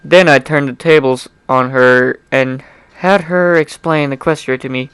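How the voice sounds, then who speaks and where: Slow-paced young man reading aloud, clear voice. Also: medium pitch, steady tone, a bit bright, no room sound, low-quality recording. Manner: informal, self-interrupting, apologetic. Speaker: fan fiction reader. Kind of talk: dramatic amateur reading with chatty asides.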